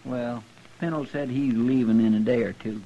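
Creaky, folksy western deputy played by a man. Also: medium pitch, fairly even intonation, moderate pace, close to an everyday voice. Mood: gossipy, concerned. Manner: folksy, deferential. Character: folksy, loyal, deferential marshal's helper. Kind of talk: 1950s radio western acting. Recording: noisy, muffled old radio recording.

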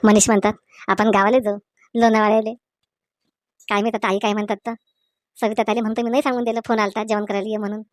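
A woman voicing a sweet, grateful young newlywed wife in a comedy cartoon, gentle and polite, with a rural Maharashtrian accent. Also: medium pitch, level tone, fast, pauses often, no room sound, clean studio sound, lightly acted. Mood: hesitant. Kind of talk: Marathi comedy cartoon dialogue.

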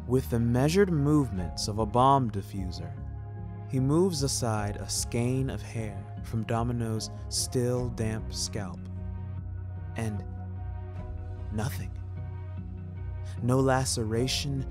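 A man reading scripted first-person fiction in a deliberate, ornate and measured storytelling manner. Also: medium pitch. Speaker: narrator of a serial audio story. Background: music.

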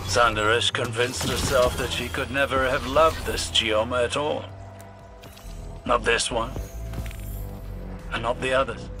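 A man plays a grave old scholar-warlock in a video game. His voice is mid-pitched and solemn, with slow, deliberate phrasing, and music plays clearly behind him. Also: fairly even intonation, natural voice. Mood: somber, gentle. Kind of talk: dramatic video game dialogue.

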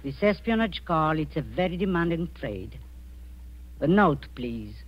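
A woman's voice: low voice, big pitch swings, measured pace, heavily acted. An actress playing a ruthless, imperious middle-aged woman spy posing as a kindly aunt, in theatrical 1940s radio style. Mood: smoothly menacing. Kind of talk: 1940s radio suspense acting. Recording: muffled 1940s radio recording.

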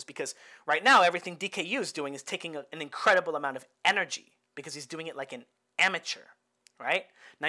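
A man's voice: sits high, bright, forceful tone. A man from the Bay Area, a boxing coach, talking casually through fight footage and often checking in with "right?". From Bay Area, United States. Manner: chatty, tags many lines with "right?", laughs at own jokes. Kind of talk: casual fight-film breakdown.

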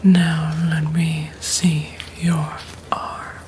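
Woman, thirties, low-pitched, very slow, hushed ASMR whisper, mysterious polite vampire teller roleplay. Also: flat delivery, noisy background.

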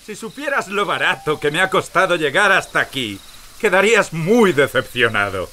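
An actor playing a gloating sheriff, taunting and menacing in a theatrical audio drama. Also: male voice, on the high side, big pitch swings, moderate pace, sharp tone, slight background noise. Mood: mocking. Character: gloating, sneering villain sheriff hunting outlaws. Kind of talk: dramatized audio fiction acting.

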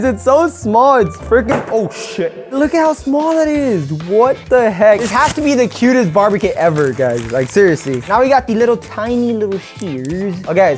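A young barber in his twenties talks through a product review with animated, slangy exclamations. His pitch swings widely as he reacts, and soft background music runs faintly under his voice. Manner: animated, exclaiming, slangy ("bro", "what the heck"). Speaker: barber and video host. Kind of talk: excited product unboxing and review. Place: indoor studio set at a table.

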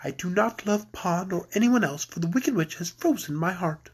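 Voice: medium pitch, lively intonation, a little slow, husky. A reader as a cold, disdainful young princess. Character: frigid young princess with a frozen heart. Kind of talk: storybook character voice.

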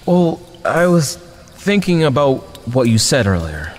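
A man's voice: mid-range voice, big pitch swings, measured pace, darkish tone. A man playing an awkward, earnest young captive superhero in an audio drama, speaking hesitantly. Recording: long reverb over faint music.

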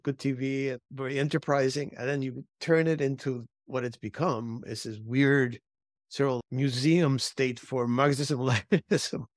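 A man's voice: mid-range voice, no echo, clean studio sound. An older man from Cuba, a native Spanish speaker, talking reflectively as an interview guest in an emphatic way. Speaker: interview guest, former intelligence analyst. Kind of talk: conversational interview, reflective storytelling.

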